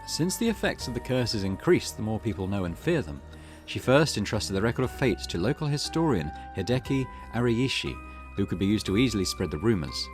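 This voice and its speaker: Deep-voiced man reading a game aloud. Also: big pitch swings, a little fast. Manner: reads game text aloud, breaking off for casual asides. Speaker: gaming channel host. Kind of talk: reading a visual novel aloud with commentary. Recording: game music playing behind.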